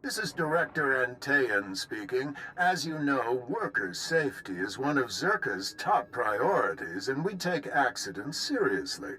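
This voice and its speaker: A man's voice: mid-range voice, big pitch swings, measured pace, hardly any pauses, bright, forceful tone, low-quality recording. An actor playing an officious male company director reading formal, bureaucratic staff announcements in a game. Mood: officious, smooth. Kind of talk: scripted public announcement.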